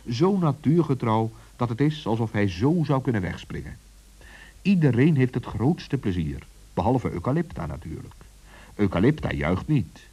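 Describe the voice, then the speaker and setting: A man's voice: mid-range voice, a little mellow, slightly rough. A man narrating a children's story expressively, as a storyteller, on a dull vintage recording.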